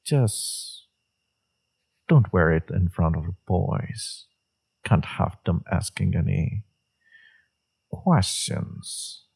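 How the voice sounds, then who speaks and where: Man acting a husky, slow, seductive villain. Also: deep voice, animated, darkish tone, heavily acted. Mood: smug, flirtatious. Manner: teasing, self-assured, unhurried. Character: smug, seductive male villain, teasing his enemy. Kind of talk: romantic audio roleplay, intimate monologue.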